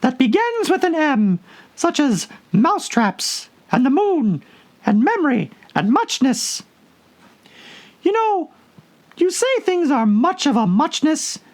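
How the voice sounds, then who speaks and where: A man voicing a sleepy, sulky little dormouse in a squeaky falsetto for a storybook, pedantic and easily offended. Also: very animated, slow pace, exaggerated voice. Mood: drowsy, rambling. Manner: indignant, pedantic.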